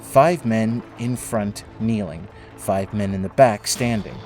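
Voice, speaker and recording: A man narrating a scripted dramatic story in a measured, solemn way, with music behind him. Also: on the low side, a little mellow. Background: prominent music.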